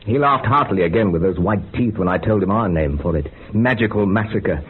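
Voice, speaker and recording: A man's voice: fairly low pitch, expressive, fairly quick, natural voice. An actor playing an unhinged man confessing a grisly occult experiment in a radio horror drama, articulate and feverish, on a muffled recording. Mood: eerie relish. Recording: muffled phone-like sound with faint hiss.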